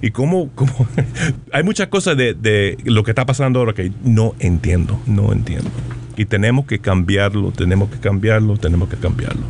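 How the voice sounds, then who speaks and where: A man's voice: lowish voice, moderate pace, background noise. An older Cuban-American man, a poet guest on a radio talk show, speaking in a rambling way with many repeated phrases. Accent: Cuban-American Spanish. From United States. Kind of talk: radio interview and poetry reading.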